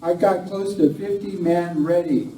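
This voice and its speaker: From off in an echoey room, a man plays a folksy, confident lawman in a Western radio play. He speaks slowly in a very dark tone. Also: high-pitched. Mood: confident, eager. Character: confident, folksy Western sheriff reporting for duty. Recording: distant, echoey live recording, faint noise.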